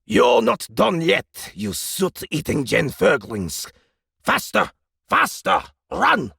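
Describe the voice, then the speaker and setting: A man voicing a furious alien battlemaster who barks shouted orders in an audiobook. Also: fairly high pitch, huge pitch swings, slow, gravelly, husky, no echo, studio quality, pitched above the performer's own voice, heavily acted. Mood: furious, commanding. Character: shrieking alien battlemaster drill instructor, furious and commanding. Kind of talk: audiobook character voice.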